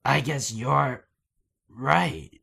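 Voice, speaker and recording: A man voicing a starving, emotional young wolf in an anime fan dub, with a gravelly voice and halting delivery. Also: mid-range voice, pushed and bright, exaggerated voice. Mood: flustered. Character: anguished young male wolf, starving, devoted to his friend.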